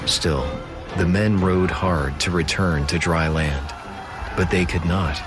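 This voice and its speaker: A man giving a solemn, measured, dramatic narration of scripture as the narrator of an audio drama. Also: low-pitched, fairly even intonation, music in the background. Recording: studio voice under loud orchestral score.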